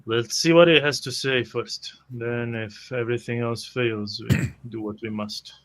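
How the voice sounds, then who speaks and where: A man's voice: medium pitch, steady tone, unhurried. A man playing a tabletop roleplay, reasoning hesitantly in character and describing what he does.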